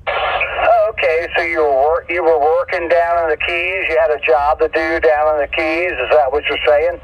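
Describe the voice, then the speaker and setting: A man's voice: a little high, little pitch movement, normal speed, highs cut off, noisy background. A man chatting casually as a CB radio operator in a folksy, colloquial manner. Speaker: radio operator and channel host.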